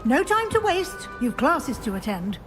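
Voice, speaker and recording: A brisk, middle-aged British witch professor from a fantasy game. Her voice sits fairly high and swings expressively as she gives formal, exacting instruction, with music underneath. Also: big pitch swings. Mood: brisk, instructive. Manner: crisp, formal, schoolmistress-like. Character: brisk, exacting female witch professor guiding a new student. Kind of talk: video game character dialogue.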